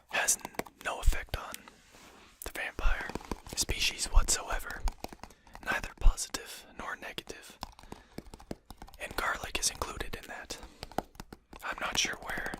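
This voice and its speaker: A performer voicing a composed, courteous, faintly mocking vampire in a breathy, articulate whisper for an ASMR roleplay. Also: close to an everyday voice. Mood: dryly amused. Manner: slow, articulate, formal, gently mocking. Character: composed, courteous, condescending vampire addressing a hunter.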